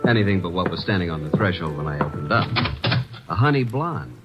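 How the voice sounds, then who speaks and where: A man's voice: sits low, very animated, a little fast, muffled recording, over loud music. An actor playing a wisecracking male detective's assistant in old-time radio acting, with wry, hard-boiled narration and quips.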